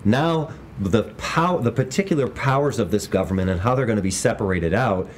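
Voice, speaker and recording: A man's voice: fairly low pitch, lively intonation, a little fast, slight background noise. A man co-hosting a history podcast, asking questions and summing up the story in plain talk. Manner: paraphrases others in acted quotes, prompting questions. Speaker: podcast co-host and professor. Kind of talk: conversational history discussion.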